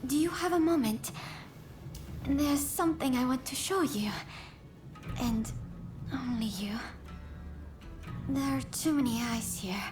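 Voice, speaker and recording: Soft, high-voiced samurai's widow. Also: female voice, music in the background. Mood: warm, shy. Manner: soft, breathy, formal and measured. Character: gentle, earnest widow of a samurai in old Japan. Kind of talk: dramatic video game cutscene acting.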